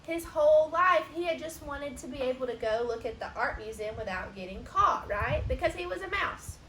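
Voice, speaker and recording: A woman reading a children's storybook aloud expressively, pausing for asides and questions to young listeners. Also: sits high, lively intonation, fairly bright tone. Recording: roomy echo, noticeable background hiss.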